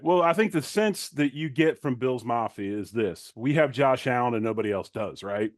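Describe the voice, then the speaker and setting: Man, middle-aged, informal sports talk, plain-spoken, mid-range pitch, dry close sound. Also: dry recording. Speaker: guest, former football player turned sports commentator. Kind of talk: conversational sports analysis.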